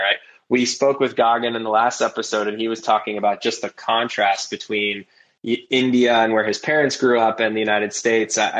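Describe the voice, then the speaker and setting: A man hosting an interview podcast, enthusiastic and a bit halting with fillers. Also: lowish voice, fairly bright tone, rough recording. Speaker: podcast host. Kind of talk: casual interview conversation.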